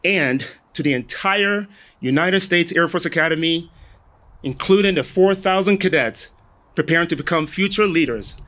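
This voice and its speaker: Higher-voiced man giving a civic speech. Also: fairly bright tone. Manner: rallying, listing thanks and slogans. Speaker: city mayor.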